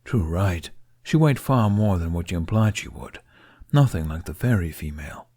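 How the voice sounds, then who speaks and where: A man's voice: low-pitched, lively intonation, moderate pace, a little mellow, slightly rough. A man voicing a grumpy, sarcastic, demanding male dragon in an audiobook, with imperious, drily bantering delivery. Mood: haughty grumbling. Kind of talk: audiobook character acting.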